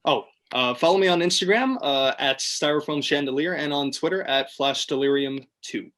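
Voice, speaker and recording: A man's voice: on the high side, moderate pace, fairly bright tone. A man chatting casually in a laid-back, joking way as part of a group. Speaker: participant in a script-reading club. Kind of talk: casual chat.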